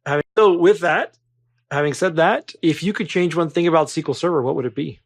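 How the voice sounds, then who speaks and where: A man's voice: a little high, a little fast, gravelly. A man hosting a casual podcast interview, loose and easygoing, using "kinda" and "you know" as he steers the talk. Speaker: podcast host.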